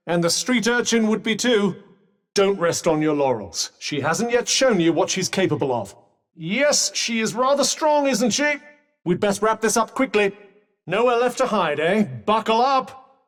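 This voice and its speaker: A man's voice: high-pitched, in a large hall. A man voicing a sardonic talking magic bracelet in a video game, firing off dry, sarcastic quips and urgent combat warnings. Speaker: voice actor.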